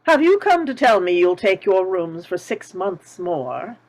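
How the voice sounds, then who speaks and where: A woman's voice: mid-range voice, sing-song, unhurried, a little mellow, rough recording. A woman reading a shrewd, haughty elderly landlady at a table read, probing with each question.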